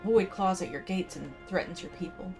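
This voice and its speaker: A woman's voice: fairly low pitch, moderate pace. A woman hosting a casual game playthrough, chatting and reading quest text aloud. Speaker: gaming video host. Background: game music.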